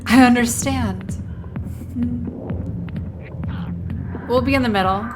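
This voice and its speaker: A woman's voice: on the high side, takes it slowly. A woman giving casual, reactive commentary as she plays through a video game. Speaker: gamer streaming a playthrough. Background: game music.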